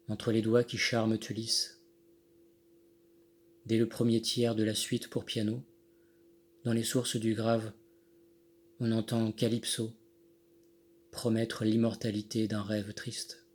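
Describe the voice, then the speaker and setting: A man reciting his own poem in measured, deliberate phrases with pauses between them. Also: fairly low pitch, completely flat delivery. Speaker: poet reading own poem. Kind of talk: poetry recitation. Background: Handel music accompanies the reading.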